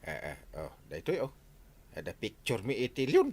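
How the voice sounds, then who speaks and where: An actor playing a nervous, excitable village captain who stammers and jumps in pitch. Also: male voice, moderate pace, creaky. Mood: excited. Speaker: radio drama lead.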